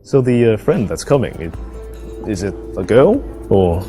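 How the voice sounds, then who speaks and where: A man's voice: lowish voice, sing-song, measured pace, dark tone, faint music behind. An actor playing an eager, chatty man on a date in a dating game, talking in a casual, slightly hesitant way. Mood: earnest, flirting. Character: eager, chatty male dater making small talk on a date.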